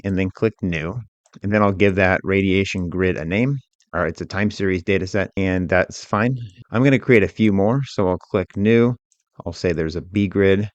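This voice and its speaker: A man with a low-pitched voice narrates a software lesson step by step. He explains things in a measured way. The recording is dull and lacking in high detail. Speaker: instructor. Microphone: voice-over for screen recording. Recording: dull, roomy, echoing sound.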